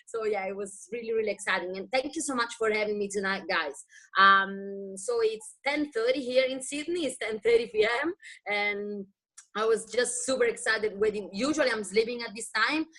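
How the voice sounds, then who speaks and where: A woman's voice: mid-range voice, moderate pace, poor audio. Guest speaker, woman with an Italian accent, eager storytelling over a video call. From Italy. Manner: warm, enthusiastic, a little broken English. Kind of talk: personal success story.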